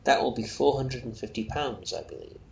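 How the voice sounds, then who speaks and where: A man's voice: mid-range voice, fairly quick, vocal fry, a little mellow, lo-fi sound, voice pushed up, natural voice. A man voicing an obliging, deferential salesman from a story, formal and fussily apologetic in manner. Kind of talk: storybook character voice. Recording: roomy echo, faint noise.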